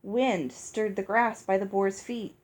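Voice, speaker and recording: Lady reading a story out loud, audiobook style, normal mid pitch, expressive narration. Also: slow. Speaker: audiobook reader.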